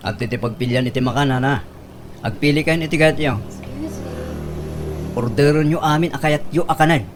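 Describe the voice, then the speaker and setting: A man's voice: on the high side, moderate pace, noisy background, close to an everyday voice. A man playing an eager, lovestruck young suitor in a comedy radio drama, earnest and polite to elders, with an Ilocano accent. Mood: eager, obliging.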